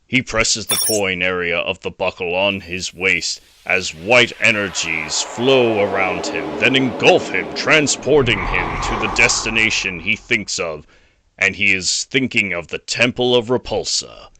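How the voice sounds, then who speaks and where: Low-voiced man narrating, very noisy recording. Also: a little slow. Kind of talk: scripted audio drama narration.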